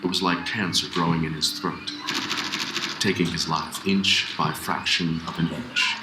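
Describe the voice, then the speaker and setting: An actor narrating a haunted war story in a grave, deliberate, dramatic audio drama style. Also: male voice, sits low, little pitch movement. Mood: grave, somber. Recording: echoey, heavy noise behind.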